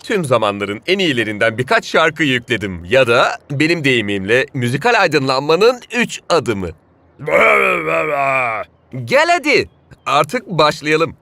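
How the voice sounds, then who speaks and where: An actor voicing a laid-back cartoon footballer who loves music and hypes it up in a chummy, showy way. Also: male voice, medium pitch, big pitch swings, unhurried, sharp tone. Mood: enthusiastic, chummy. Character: laid-back, cool music-loving male footballer, enthusiastic buddy. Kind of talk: animated cartoon dub acting.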